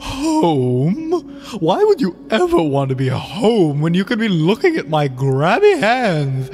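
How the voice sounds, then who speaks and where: A man's voice: sits high, huge pitch swings, slow pace, pitched above the performer's own voice, exaggerated voice. A man playing a creepy, gleeful stranger with a repetitive, sing-song, unhinged cheer in a darkly comedic audio play. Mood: gleeful, manic. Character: creepy, gleeful male stranger obsessed with his grabby hands. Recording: loud music under voice.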